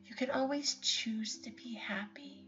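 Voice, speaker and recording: A woman guiding a bedtime sleep story for children, talking softly and soothingly, often in a whisper. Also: sits high, a little slow. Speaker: bedtime meditation guide. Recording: slightly dull recording with lullaby music.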